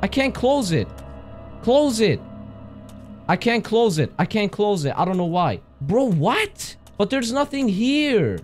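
Jumpy young man yelling through a horror game. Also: high voice, very animated, slow, soft background music. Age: young adult. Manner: jumpy, yelling and gasping at scares. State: hoarse, voice strained from screaming. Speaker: gaming streamer. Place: small room indoors. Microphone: headset.

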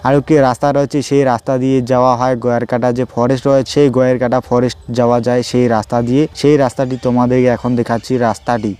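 A man's voice: medium pitch, flat delivery, rapid-fire, few pauses, mellow. A man narrating a travel vlog in loose, repetitive, improvised phrasing. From West Bengal, India. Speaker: travel vlogger. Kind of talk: travel vlog narration pointing out roads and places.

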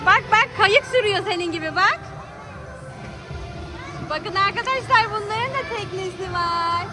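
Very high-pitched woman vlogging over music. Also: unhurried. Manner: exclaiming, calling out sights in short phrases. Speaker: host of a children's family vlog. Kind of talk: excited vlog commentary to a child and viewers. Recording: loud background music and crowd outdoors. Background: music and crowd noise at an amusement boat ride.

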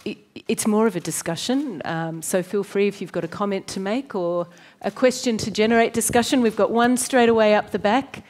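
A woman moderating a panel discussion on an auditorium stage, guiding the talk smoothly. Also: mid-range voice, a little fast, a little mellow.

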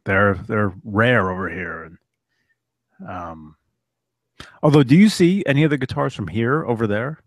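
A man in his thirties, a guest talking in relaxed, halting bursts on a studio mic with headphones over a video call. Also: on the low side, no echo. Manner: relaxed, halting, repeats words. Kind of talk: casual chat.

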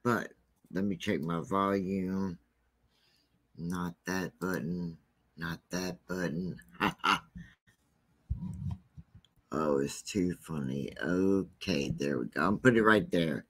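A man with a deep, scratchy voice hosting a true crime channel, talking casually and rambling with many fillers. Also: slow pace, long pauses, fairly bright tone.

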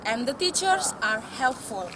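A woman's voice: sits high, sing-song, unhurried. A young woman student speaking briefly to camera outdoors in a friendly, simple way. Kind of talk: short on-camera greeting. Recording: distant camera mic, outdoor noise.

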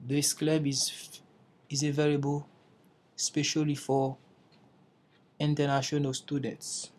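Slow, careful man presenting. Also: fairly high pitch, completely flat delivery, a little mellow. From Haiti. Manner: slow, careful, with restarts and searching for words. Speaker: English language student presenting. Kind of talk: class presentation over slides.

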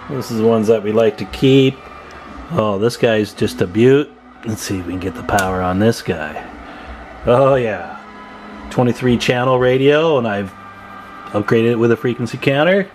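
A man giving a casual, informal hands-on demonstration as a hobbyist, unhurried, recorded on a handheld camera in a room at home. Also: mid-range voice, darkish tone. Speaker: CB radio hobbyist showing his equipment. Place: indoor room at home with radio gear. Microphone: handheld camera microphone, speaker off screen.

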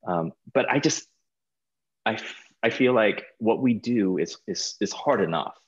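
A middle-aged American man chatting casually as an interview guest over a video call, informal and halting, often repeating words. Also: on the low side, lively intonation, husky, lo-fi sound. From California, United States. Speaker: guest, stage performer. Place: small plain room indoors, video call.

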